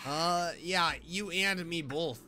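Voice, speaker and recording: A woman giving casual, slangy gameplay commentary as a streamer, animated and quick to exclaim. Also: fairly low pitch, heavy background noise. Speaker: streamer, game player. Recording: game music behind the voice. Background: video game music.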